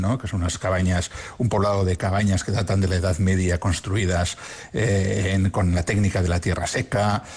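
Low, husky-voiced man rambling casually. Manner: rambling, full of fillers. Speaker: travel podcast host. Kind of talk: casual chat.

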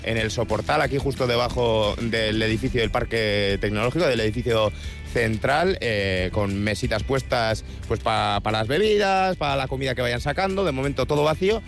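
A man with a Spanish accent from Spain reporting live in a casual, halting way, often repeating himself. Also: medium pitch, gravelly, soft background music. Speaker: radio reporter on location. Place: outdoors at a festival site before it starts.

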